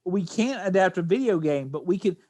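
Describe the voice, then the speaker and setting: Lively, swooping-pitched man in casual discussion. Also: a little fast, no echo. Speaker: podcast co-host. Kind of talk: casual group discussion about films.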